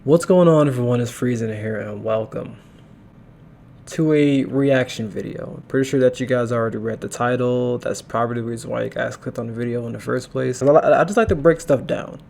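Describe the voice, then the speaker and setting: A man's voice: mid-range voice, fairly quick, gravelly, darkish tone, slight background noise. A man hosting a reaction video, talking casually and repeating himself, with lots of fillers.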